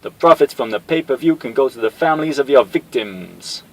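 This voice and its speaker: A man's voice: mid-range voice, animated, brisk, pitched above the performer's own voice, close to an everyday voice, low-quality recording. A man voicing a brash army colonel who barks orders and taunts his enemy. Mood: taunting. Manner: barking, taunting.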